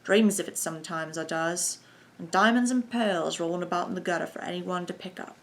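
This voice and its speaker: A woman voicing a rough male thug who speaks with grim relish and rough working-class grammar. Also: high voice, a little fast, fairly bright tone. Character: rough cockney thug, eager for violence.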